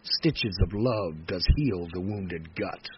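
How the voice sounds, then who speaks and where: A man reciting poetry dramatically, in a solemn, measured manner that moves between hushed phrase endings and emphatic phrases. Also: deep voice, husky. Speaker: poetry reader. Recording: dull lo-fi recording with faint noise.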